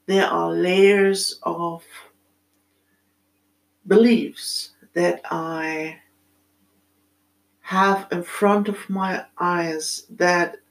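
German-accented woman talking slow and thoughtful, lots of pauses and ums, middle-aged podcast host vibe. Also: lowish voice, low-quality recording. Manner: thoughtful, halting, with many pauses and fillers. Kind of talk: reflective solo monologue.

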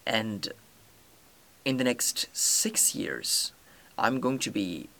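A man's voice: on the low side, expressive, drawn-out words, gravelly, fairly bright tone. A young man from Turkey, a native Turkish speaker, hosting a solo podcast in a determined, earnest way with many fillers and restarts. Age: young adult. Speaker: podcast host.

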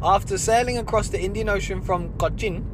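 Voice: mid-range voice, fairly quick. Giggly podcast host reading. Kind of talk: reading aloud with banter. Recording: loud traffic noise.

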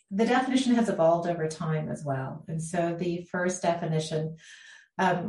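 A middle-aged woman from the Bahamas, a law professor answering interview questions in a measured, thoughtful way with frequent ums. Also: low voice, a little mellow. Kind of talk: podcast interview. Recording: echoey room.

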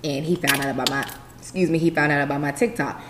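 A woman in her twenties co-hosting a podcast, telling her dating stories with animated emphasis. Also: deep voice, quick pace. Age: 20s. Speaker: podcast co-host. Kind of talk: casual chat. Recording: echoey room with faint noise.

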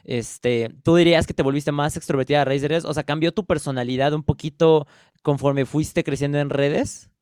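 A man's voice: a little high, fairly even intonation, moderate pace, a little mellow, clear, resonant tone, no echo, studio quality. A man with a Mexican accent hosting a podcast, asking questions in a loose, chatty way. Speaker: podcast host. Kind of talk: casual interview chat.

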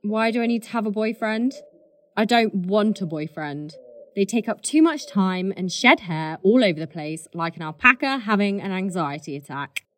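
A woman's voice: mid-range voice, lively intonation, normal speed, a bit bright, close to an everyday voice. A woman playing an acid-tongued, impatient political staffer in a comedy drama. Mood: dismissive. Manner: cutting, sarcastic, impatient. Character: acid-tongued, foul-mouthed female political staffer, scornful. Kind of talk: fast comedy audio drama dialogue.

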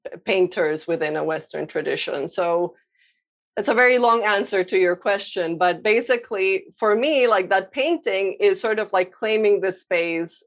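A woman's voice: mid-range voice, normal speed, lo-fi sound. A young adult woman from Colombia, a painter answering interview questions in a thoughtful way, with many fillers and restarts. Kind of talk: reflective conversational interview.

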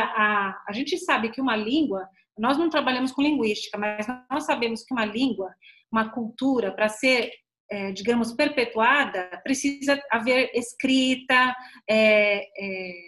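Middle-aged brazilian woman, kinda high voice, explaining stuff in a casual interview chat. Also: fairly bright tone. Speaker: missionary, interview guest.

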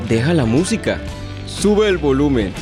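A man's voice: a little high, sing-song, normal speed, music in the background. A man playing a commanding guard in a radio play, speaking emphatically. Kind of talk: radio theatre acting.

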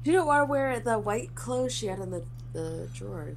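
A woman's voice: a little high, lively intonation, normal speed, a little mellow, slight background noise. A woman co-hosting a film reaction show with casual commentary and trivia. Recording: movie music clearly behind. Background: film soundtrack music.

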